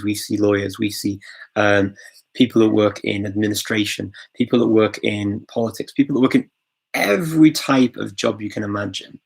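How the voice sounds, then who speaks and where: Live-stream host, male, low gravelly voice, relaxed and chatty with lots of ums and little chuckles. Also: low-quality recording. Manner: chatty, filler-heavy, chuckles often, greets viewers. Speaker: host of a live language-learning Q&A. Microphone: phone.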